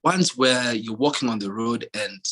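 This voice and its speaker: A young man from Kenya in casual chat, telling stories in a relaxed way with many fillers and restarts. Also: medium pitch, normal speed, a crowd in the background.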